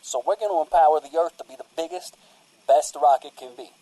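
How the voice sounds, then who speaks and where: Mellow, earnest cult priest played by a man. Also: a little high, a little fast, close to an everyday voice, poor audio. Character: earnest, folksy male priest of a rock cult. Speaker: guest on a radio show. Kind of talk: comedic radio-play interview.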